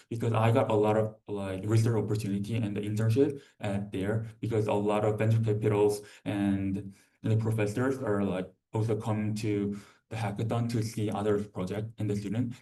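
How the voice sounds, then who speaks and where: Halting young man, low muted voice, distant mic. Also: warm tone. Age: young adult. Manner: halting, repetitive, heavy use of "like". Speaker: student presenter. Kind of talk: informal conference presentation. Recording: distant microphone.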